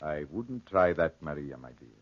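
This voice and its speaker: A man playing a stern, honor-bound husband in 1940s radio drama style, firm and quietly menacing. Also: extremely low pitch, big pitch swings, normal speed, full character voice. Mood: quiet menace. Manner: firm, authoritative. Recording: muffled old radio recording.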